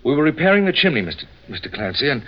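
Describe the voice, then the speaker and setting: A frightened, earnest young man in a vintage radio crime drama. He is agitated and melodramatic, with wide swings in pitch. The sound is dull, as on an old broadcast. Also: big pitch swings, racing. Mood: earnest, troubled. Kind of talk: 1940s radio drama acting. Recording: muffled old radio recording.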